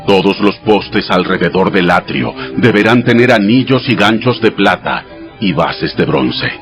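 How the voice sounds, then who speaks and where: A man voicing God in a dramatized audio Bible, giving sacred commands in a measured, solemn, instructive way. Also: low voice, expressive. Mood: solemn, commanding. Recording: studio voice over background music.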